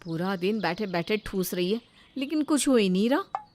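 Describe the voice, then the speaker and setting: A woman's voice: mid-range voice, lively intonation, moderate pace, background noise, lightly acted. A woman voicing a resentful, falsely sweet elder sister-in-law, complaining dramatically in a moral story. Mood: exasperated. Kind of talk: animated Hindi moral story dialogue.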